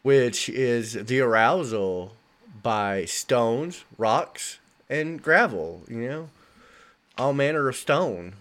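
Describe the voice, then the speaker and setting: A man hosting a chatty podcast, his voice a little gravelly as he gets over an illness, cracking puns as he talks. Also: medium pitch, expressive, takes it slowly, fairly bright tone. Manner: punning, joking. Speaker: podcast host. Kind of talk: casual chat.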